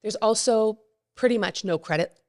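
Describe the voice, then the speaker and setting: A woman's voice: medium pitch, normal speed. A woman, a mortgage expert guest, explaining products plainly in a casual podcast interview. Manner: explains plainly, with frequent fillers.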